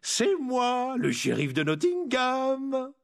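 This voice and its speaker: A man's voice: very high voice, huge pitch swings, slow pace, creaky, no echo, clean studio sound, voice pushed up, exaggerated voice. Man playing a taunting, jovial wolfish sheriff. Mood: mock cheerful. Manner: falsely cheerful, taunting. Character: huge wolfish male sheriff, jovial, mocking villain. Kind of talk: storybook villain voice.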